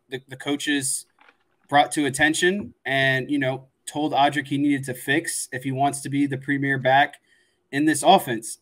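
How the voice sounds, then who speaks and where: A man's voice: mid-range voice, fairly bright tone. A man co-hosting a sports show, laying out casual analysis point by point in an explanatory way. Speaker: sports podcast co-host.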